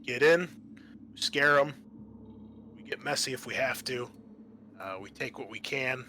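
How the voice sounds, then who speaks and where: A man playing a brisk, hard-boiled captain of space raiders in a tabletop game, blunt and profane. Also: medium pitch, pushed and bright, a little hiss or hum. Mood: brisk, commanding.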